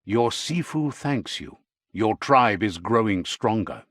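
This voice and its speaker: A man's voice: on the low side, animated, takes it slowly, a bit bright, no echo, studio quality. A man giving scripted narration for a video game, a wry storyteller who relays what the characters say.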